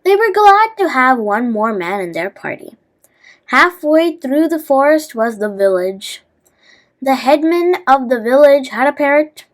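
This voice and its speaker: Female narrator for kids' moral tales. High-pitched, slow, slightly stumbling read. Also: big pitch swings. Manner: halting reading with stumbles over words. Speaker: storyteller. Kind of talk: scripted children's story narration.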